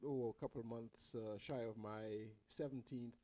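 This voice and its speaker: Mellow Trinidadian man, halting speech. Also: medium pitch, steady tone. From Trinidad and Tobago. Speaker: musician, guest. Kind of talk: casual chat, introducing music.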